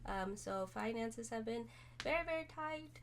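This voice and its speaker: A woman streamer chatting casually to camera, hoarse from being sick, rambling with many pauses and fillers. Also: a little high, lively intonation, a little hiss or hum. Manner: rambling, many ums, soft-spoken. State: hoarse, recovering from illness.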